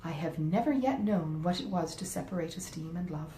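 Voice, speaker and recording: A woman's voice: expressive, fairly quick, warm tone, close to an everyday voice. A woman voicing a sentimental, affectionate middle-aged mother in a Regency storybook reading. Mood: gushing. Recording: roomy echo, faint rumbling noise.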